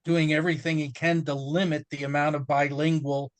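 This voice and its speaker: A man's voice: on the high side, flat delivery, brisk, clean tone, no echo. An older man talking earnestly as a guest on a panel chat.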